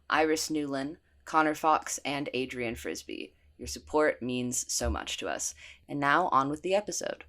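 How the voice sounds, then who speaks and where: Announcer, medium pitch, scripted credits read, list of thanks. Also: fairly bright tone.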